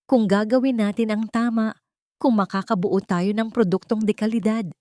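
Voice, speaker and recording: A woman narrator voicing a determined mother in a drama story, calm, earnest and firm. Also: mid-range voice, normal speed, no echo, clean studio sound, pitched above the performer's own voice, close to an everyday voice. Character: determined young mother, gentle but resolute.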